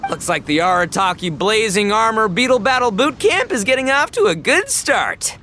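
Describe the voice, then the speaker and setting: An actor playing a boisterous, good-hearted young oni gang boss in a video game, slangy, goofy and exclamatory. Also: male voice, high voice, big pitch swings, moderate pace, bright, forceful tone, a little hiss or hum, exaggerated voice. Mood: enthusiastic. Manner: slangy, exclamatory, dim but enthusiastic.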